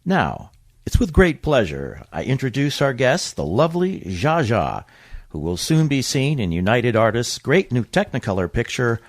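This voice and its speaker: Man acting a grand, animated radio host. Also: medium pitch, moderate pace, dry recording, clean studio sound. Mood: courtly, promotional. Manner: formal, grand, promotional. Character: polished, courtly male radio show host. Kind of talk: 1950s radio announcing.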